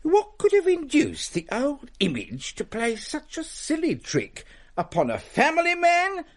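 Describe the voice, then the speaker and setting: A man's voice: very high-pitched, huge pitch swings, measured pace, heavily acted. An actor playing a pompous male dinner guest in a period radio drama, telling a tale in formal, wordy, theatrical style. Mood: dramatic, wry.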